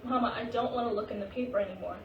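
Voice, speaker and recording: An earnest, sorrowful 1940s daughter, played by a woman in a staged reading. She pleads in simple phrases with a slightly high voice, somewhat far from the mic with clear noise behind. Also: racing, dull audio, close to an everyday voice. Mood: troubled. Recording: echoey room, distant mic, clear hiss.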